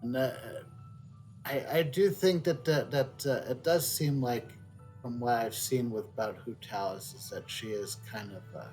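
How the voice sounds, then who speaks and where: A man giving casual live game commentary, thinking aloud in a halting way. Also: mid-range voice, low-quality recording. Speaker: game streamer. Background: soft game music.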